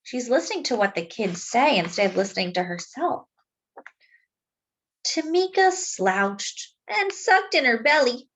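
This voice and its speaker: A woman reading a children's story aloud expressively, phrasing deliberately as if to young listeners. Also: fairly high pitch, expressive, fairly bright tone, rough recording. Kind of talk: expressive picture-book read-aloud with asides to young listeners.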